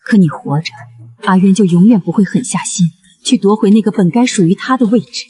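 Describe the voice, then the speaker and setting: A woman's voice: medium pitch, fairly quick, dark tone, slightly rough, natural voice. A woman playing an older imperial consort, a coldly ruthless and devoted mother scheming in a costume drama. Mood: brooding.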